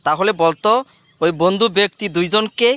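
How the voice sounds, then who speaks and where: A man's voice: high-pitched, a little fast, sharp tone, clean tone, no echo. A man voicing a stern, easily flustered schoolteacher who scolds theatrically in a comedy cartoon. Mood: quizzing, approving.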